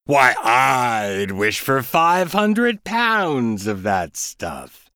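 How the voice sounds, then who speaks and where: A man voicing a sly, scheming male cat in a storybook reading, coaxing and persuading in a slow, deliberate way. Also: mid-range voice, sing-song, sharp tone, smooth, no echo, studio quality, voice pushed up, heavily acted.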